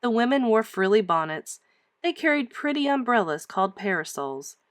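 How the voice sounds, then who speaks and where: A woman reading a children's storybook aloud like a teacher, with emphatic, expressive storytelling. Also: a little high, moderate pace, no echo, clean studio sound.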